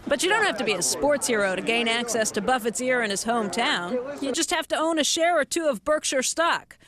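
A woman's voice: a little high, animated, a little fast, a crowd in the background. A woman reporter delivering scripted documentary narration.

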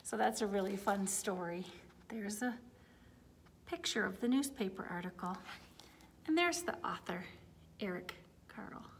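A woman in her forties reading a picture book aloud to young children, with deliberate phrasing. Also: mid-range voice, vocal fry, a little hiss or hum. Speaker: children's story time reader. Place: large indoor room with high wooden ceiling.

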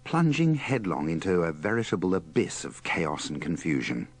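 A man's voice: low-pitched, very animated, moderate pace. A man presenting a compilation, delivering scripted narration in a dry, tongue-in-cheek way.

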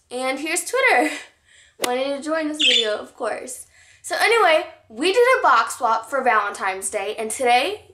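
Hoarse, gushing young woman. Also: high voice, echoey room, very noisy. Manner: gushing, excitable. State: hoarse, losing her voice. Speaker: vlogger. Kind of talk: casual chat to camera. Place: living room, on a couch.

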